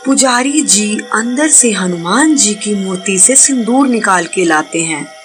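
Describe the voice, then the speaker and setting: A woman's voice: medium pitch, expressive, a little slow. A woman narrating a scripted cartoon story. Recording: loud music behind the voice.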